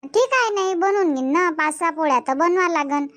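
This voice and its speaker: A woman's voice: very high-pitched, lively intonation, brisk, a bit bright. A woman voicing a busy young village daughter-in-law and mother in a cartoon comedy, chatty, with a Varhadi Marathi accent. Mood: agreeable, busy. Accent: Varhadi Marathi from Vidarbha. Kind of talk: amateur cartoon comedy dubbing in village dialect.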